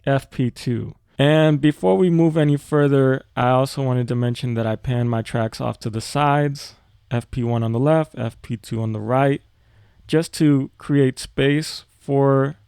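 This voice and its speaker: Music production tutor, male, casual explainer. Soft-edged, mellow tone, dry recording. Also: mid-range voice, vocal fry, smooth, dry recording.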